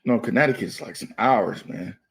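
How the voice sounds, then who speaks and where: A man's voice: fairly low pitch, animated, normal speed, a bit bright, husky. A man in his thirties from Maryland, a comedian guest talking casually and loosely, often saying "you know what I mean.". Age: 30s. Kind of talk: casual podcast chat.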